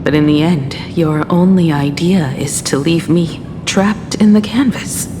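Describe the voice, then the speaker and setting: A woman voicing a cool, defiant character in a video game, challenging her captor in a dry manner. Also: a little slow. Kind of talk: dramatic video game acting. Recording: long reverb, rumbling noise.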